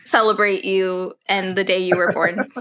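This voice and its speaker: A woman's voice: medium pitch, little pitch movement, normal speed, fairly bright tone. A woman hosting a podcast with casual, conversational banter. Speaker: podcast host.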